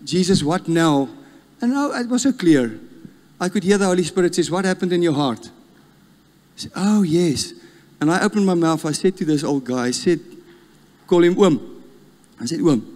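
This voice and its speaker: Man, Afrikaans South African accent, 50s, guest preacher, storytelling sermon, fairly high voice, echoing church hall. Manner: storytelling, animated, laughs at himself. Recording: long church reverb.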